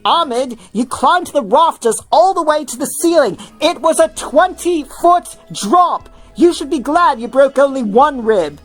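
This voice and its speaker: A woman's voice: high-pitched, lively intonation, measured pace, hardly any pauses, faint music behind, voice pushed up. Woman doing Tim, a bossy no-nonsense bandmate. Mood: scolding. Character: Tim, bossy no-nonsense male band member.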